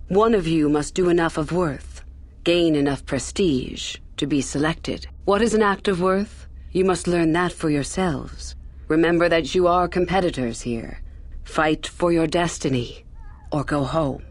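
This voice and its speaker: Low-voiced, scheming Sith teacher. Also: female voice, dry recording. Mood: stern. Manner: measured, insinuating, archly formal. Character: scheming female Sith teacher, cool, ambitious and manipulative. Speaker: academy teacher. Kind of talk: scripted video game acting.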